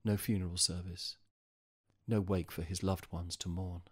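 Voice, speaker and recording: A man's voice: sits low, little pitch movement, normal speed, husky. A man narrating a bleak horror audio drama in a grave manner.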